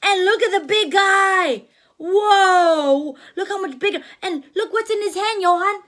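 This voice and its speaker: Animated woman reading to a child. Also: very high voice, a little slow. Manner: animated, gasping, asks the child questions. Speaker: teacher reading aloud. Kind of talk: reading a picture book to a child.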